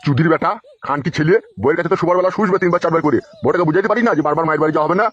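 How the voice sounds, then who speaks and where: A man's voice: fairly high pitch, very fast, fairly bright tone, breathy edge. A man playing a foul-mouthed, quarrelsome older village father-in-law in a comic cartoon, scolding and cursing. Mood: angry scolding. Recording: dull lo-fi dubbed audio.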